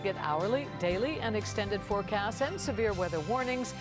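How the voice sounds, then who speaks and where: A woman anchors a TV newscast in a voice of average pitch. She speaks in a broadcast presenting style, over a clearly heard music bed. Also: animated, moderate pace. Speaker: TV news anchor.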